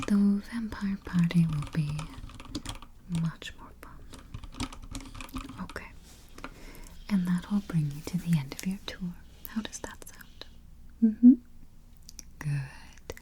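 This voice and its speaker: A woman voicing a polite female vampire travel agent in soft, breathy, mostly whispered ASMR roleplay, speaking gently and close to the microphone. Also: lowish voice, a little hiss or hum. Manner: gentle, unhurried, hesitant murmured hums.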